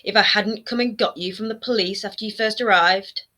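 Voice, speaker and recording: A woman voicing a shrill, nagging former queen in a storybook reading, her voice high, whining and scolding. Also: steady tone, a little fast. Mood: bitter. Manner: shrill and scolding, rising to near shouting. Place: home room lined with bookshelves. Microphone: webcam framing, seated close to camera.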